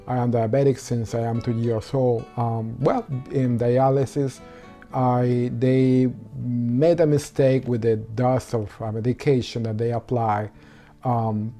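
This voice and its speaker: A man with a Venezuelan accent, a Spanish speaker, telling his own story in halting English with many pauses and restarts. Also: medium pitch, mellow. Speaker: interviewee. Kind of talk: personal story interview.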